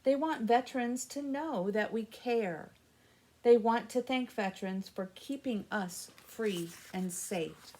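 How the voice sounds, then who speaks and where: A woman's voice: fairly high pitch, takes it slowly, a little mellow, a little hiss or hum. An American woman hosting a library story time for children, reading and explaining things simply and patiently in short phrases.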